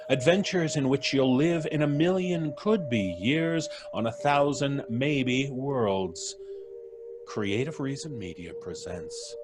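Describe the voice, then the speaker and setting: Slow theatrical announcer man. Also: on the high side. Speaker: host and announcer. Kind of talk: dramatic live announcing. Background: live music.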